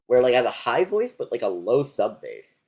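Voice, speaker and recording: Lively young man chatting on stream. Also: medium pitch, fairly quick, creaky, slightly rough. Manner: animated, laughs often, reads out chat. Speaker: co-host. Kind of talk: casual chat.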